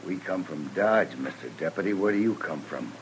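Menacing cowboy heavy, low register, mock-polite insolence, hissy old-time radio sound. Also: male voice, lively intonation, normal speed. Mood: taunting, menacing. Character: insolent, menacing drawling gunslinger from a cow town. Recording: hissy vintage radio recording.

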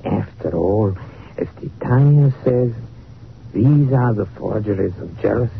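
A man's voice: fairly low pitch, lively intonation, unhurried, creaky. An actor playing a small, dapper Levantine blackmailer in a 1940s radio drama, unctuous and formal, his politeness carrying a veiled threat. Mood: silky menace. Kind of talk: 1940s radio villain acting. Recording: tinny, noisy old radio recording.

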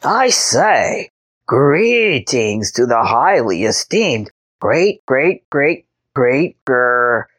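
A woman's voice: a bass voice, very animated, drawn-out words, voice pushed down, heavily acted. Woman doing a friendly giant sea monster. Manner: friendly, chatty. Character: huge, friendly sea monster who wants to play.

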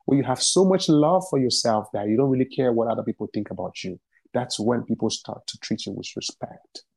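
A man's voice: mid-range voice, creaky, a little mellow, husky, no room sound. A man from Ghana, a musician and activist, answering interview questions in a passionate, emphatic way and repeating phrases for stress.

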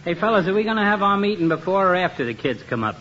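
A man's voice: sits high, sing-song, quick pace, a bit bright. An actor in a 1950s radio comedy playing a blunt, wisecracking regular guy who talks in slang. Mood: brash, impatient. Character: brash, wisecracking regular-guy club member, adult male. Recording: muffled hissy 1950s radio, audience behind.

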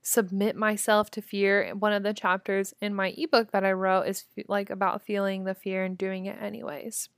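Raspy young woman chatting casually. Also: medium pitch, dry recording, studio quality. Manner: chatty, breezy, swears casually. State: hoarse from screaming. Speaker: podcast host. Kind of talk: casual motivational chat.

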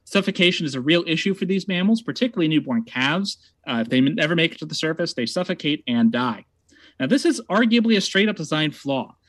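A man's voice: on the high side, a bit bright, no echo. A man making a prepared debate argument over a video call, emphatic and wry.

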